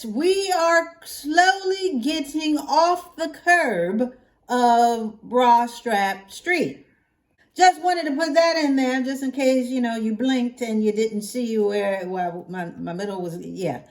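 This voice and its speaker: A woman hosting her own vlog, chatting casually to her viewers and playfully stretching out catchphrases for emphasis. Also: on the high side. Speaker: hair vlogger hosting her own channel.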